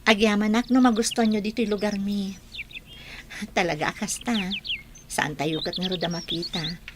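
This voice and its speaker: A woman's voice: mid-range voice, steady tone, moderate pace, natural voice. Woman acting a hospitable village hostess. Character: hospitable village woman hosting her guests. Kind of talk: Ilocano radio drama acting. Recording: noisy bed of bird and insect sounds.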